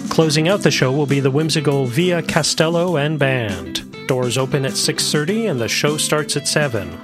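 A male radio announcer reading a station ID and an event promotion in an upbeat tone. Guitar music plays clearly behind his voice. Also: on the high side, normal speed.

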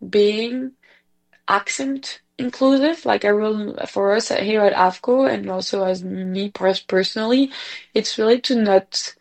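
A woman from Belgium speaking English with a Belgian French accent, her first language being French. Her voice has a gravelly, creaky edge. She talks in a chatty way, full of fillers and restarts. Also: mid-range voice, unhurried. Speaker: executive director, guest. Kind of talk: casual interview chat.

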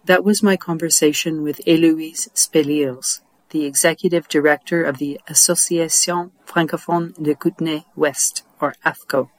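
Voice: mid-range voice, fairly even intonation, normal speed, hardly any pauses, dry recording, rough recording. A podcast host recorded dry and close, with almost no room sound. The questions are read in a friendly, scripted way. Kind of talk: radio interview.

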